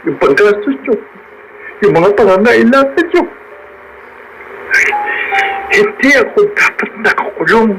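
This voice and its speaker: A man's voice: high voice, lively intonation, measured pace, long pauses, loud music behind the voice. An actor playing an anguished, wrongly jailed man in a radio drama, emotional and pleading, with a Filipino accent. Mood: anguished, worried. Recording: noisy radio drama broadcast.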